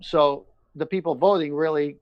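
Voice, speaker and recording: A man's voice: on the high side, fairly even intonation, normal speed, no room sound, dull audio. A man talking casually and at length, earnest and emphatic, often repeating his phrases. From born in Iran, moved to the United States at seven. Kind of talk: casual conversation, personal testimony and opinion.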